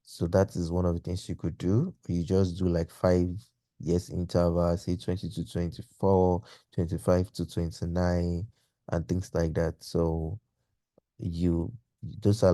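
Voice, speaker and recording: A man's voice: low-pitched, warm tone, dry recording. A man teaching in an informal explanatory lecture, instructing step by step and posing example questions as he goes. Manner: didactic, poses example questions.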